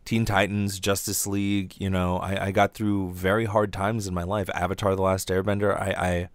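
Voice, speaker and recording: A man's voice: sits low, flat delivery, moderate pace, no echo. A man hosting a casual monologue podcast, stammering through repeated false starts and swearing as he rambles. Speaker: podcast host and aspiring voice actor.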